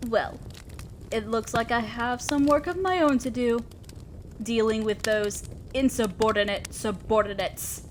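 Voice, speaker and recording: A commanding female demon boss, acted by a woman, with a high voice and a theatrical, imperious delivery. She scolds and teases like a ruler who still protects her own. The audio roleplay has faint ambient noise behind it. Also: measured pace, close to an everyday voice, rough recording. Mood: brisk, authoritative. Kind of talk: scripted audio roleplay addressed to the listener.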